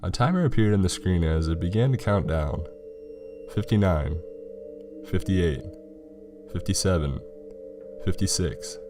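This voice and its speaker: Low-voiced man narrating horror. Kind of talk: scripted creepypasta narration. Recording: music behind the voice. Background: background music.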